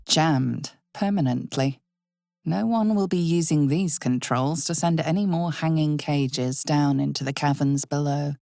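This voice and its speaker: A woman playing an ominous, knowing narrator in a fantasy video game, with measured, theatrical, deliberate phrasing. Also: sits low, vocal fry, darkish tone, no echo, clean studio sound. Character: female game narrator, ominous, knowing, darkly solemn storyteller. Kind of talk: dramatic second-person fantasy narration.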